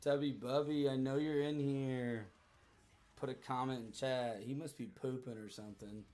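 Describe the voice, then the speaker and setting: A man in his thirties with a hoarse voice, chatting casually and profanely with his livestream audience. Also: medium pitch, steady tone, normal speed, a little mellow. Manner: rambling, profane, joking with chat.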